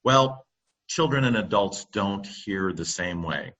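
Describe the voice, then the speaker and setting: A middle-aged man giving a presentation over a computer microphone, halting often with ums and restarts. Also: fairly low pitch, animated, a bit bright. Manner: halting, many fillers and restarts. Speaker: company presenter on classroom audio. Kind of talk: webinar presentation.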